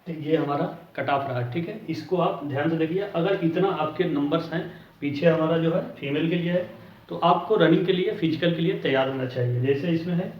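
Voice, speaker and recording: A man's voice: fairly high pitch, a little fast. A man giving an informal explanatory lesson as an exam coaching teacher, often checking in with short tag words. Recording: roomy, distant mic, dull lo-fi sound.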